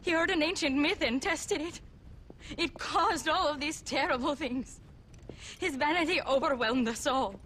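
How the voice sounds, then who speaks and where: A woman's voice: high-pitched, unhurried, a bit bright, slight background noise. A woman playing a mysterious young woman who is secretly an ancient goddess, speaking earnestly. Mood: fearful. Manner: earnest, urgent, evasive. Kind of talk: video game cutscene acting.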